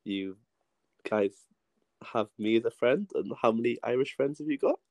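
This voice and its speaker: A man's voice: medium pitch, moderate pace, darkish tone, no room sound. Hesitant young Welsh man. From Wales. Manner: self-deprecating, hesitant with restarts. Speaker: podcast guest. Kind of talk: casual podcast chat.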